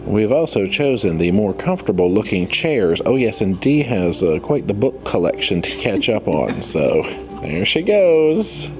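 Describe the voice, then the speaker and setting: A man's voice: on the low side, very animated, a little slow, music in the background. A man giving casual, chatty and jokey vlog commentary as he goes along. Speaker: travel vlogger.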